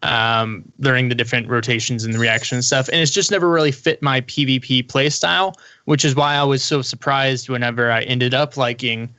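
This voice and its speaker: A man's voice: medium pitch, steady tone, a little fast, few pauses, bright, forceful tone, no room sound. A man chatting informally as a panelist on a gaming podcast. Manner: informal, conversational. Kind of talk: casual gaming podcast chat.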